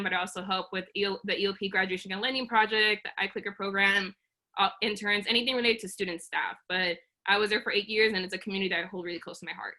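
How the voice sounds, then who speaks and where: A woman's voice: mid-range voice, pushed and bright. A woman in her thirties from Oakland, earnestly presenting a career webinar over a video call, with frequent um's and restarts. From Oakland, California. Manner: earnest, uses many fillers and restarts.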